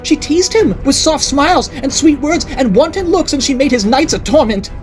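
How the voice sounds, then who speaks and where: A woman voicing an unhinged, jealous middle-aged noblewoman who rants and accuses in a shrill voice. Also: animated, moderate pace, darkish tone, faint music behind. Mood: ranting. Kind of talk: audiobook character voice.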